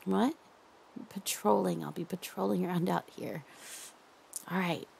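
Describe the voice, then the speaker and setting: A woman voicing a possessive, obsessive female captor in an audio roleplay, crooning softly and slipping into whispers. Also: lowish voice, normal speed, gravelly, husky, exaggerated voice. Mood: cooing. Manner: soft crooning, slipping into whispers and half-sung lines.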